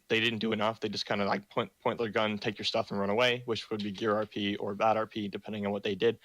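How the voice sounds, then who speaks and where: Young man, low flat voice, dry close mic, reads server rules over voice chat, lots of ums. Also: dry recording, poor audio. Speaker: meeting host for a roleplay community. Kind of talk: reading rules aloud in a voice chat meeting.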